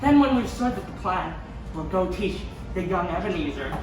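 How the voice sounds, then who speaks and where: A woman's voice: on the low side, animated, moderate pace, lightly acted. A woman playing a cocky, bossy young crook who declaims rhymes in a stage pantomime. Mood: scheming. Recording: echoey stage, distant mic, music behind.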